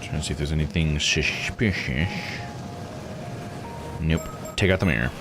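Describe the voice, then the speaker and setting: A man streaming a game, giving casual playthrough commentary as he thinks out loud and reacts. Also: a bass voice, normal speed. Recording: game music behind the voice. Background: game music.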